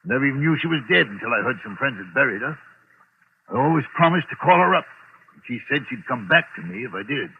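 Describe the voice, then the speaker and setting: A man's voice: mid-range voice, expressive, fast talker, natural voice. An actor playing a wealthy, imperious, haunted middle-aged businessman in a 1940s radio drama, brusque and self-important. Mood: wistful, shaken. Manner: pompous, brusque. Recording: crackly, narrow-band 1940s radio recording.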